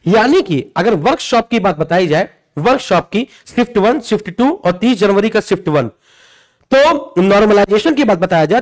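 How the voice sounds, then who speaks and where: A man giving an informal, explanatory lesson to students as a coaching teacher, emphatic and repetitive, often checking in with tag questions. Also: high-pitched. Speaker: exam coaching teacher presenting a video.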